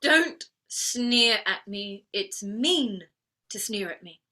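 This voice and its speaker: A woman's voice: medium pitch, animated, takes it slowly, sharp tone. A woman playing a proud, wounded young flower girl turned lady in a theatrical play reading, defiant and plaintive. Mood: hurt, reproachful. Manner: defiant, plaintive, emotionally outspoken.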